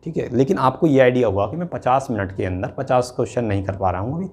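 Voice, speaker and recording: Guy, middle pitch, teaching exam prep informally, explains stuff and keeps checking in with students. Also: animated, fast. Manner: explanatory, frequently checks in with tag words. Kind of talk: informal instructional talk to students.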